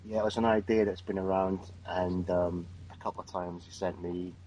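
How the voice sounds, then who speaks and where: A man with a low voice talks in a flat, even tone. He speaks emphatically and with strong opinions, repeating words as he starts his sentences. The talk is a casual panel chat. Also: moderate pace, lo-fi sound, a little hiss or hum.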